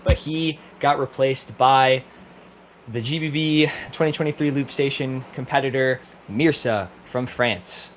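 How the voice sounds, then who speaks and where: A man with a mid-pitched voice talks as the host of a podcast. His commentary is casual and informal, with an enthusiastic way of presenting competitors. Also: fairly even intonation, a little slow, a little hiss or hum.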